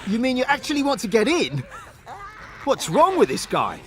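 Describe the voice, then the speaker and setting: An actor voicing a tired, blunt guard at a refugee camp in a video game. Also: male voice, extremely high pitch, wildly swooping pitch, normal speed, a bit bright, full character voice. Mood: gruff. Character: weary male refugee-camp guard, gruff. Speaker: game character.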